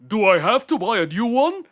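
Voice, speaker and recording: Casting: performer as fretful royal male animal for kids' cartoon, plaintive, slow and dramatic, lively pitch. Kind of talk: children's cartoon character acting. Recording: music behind the voice.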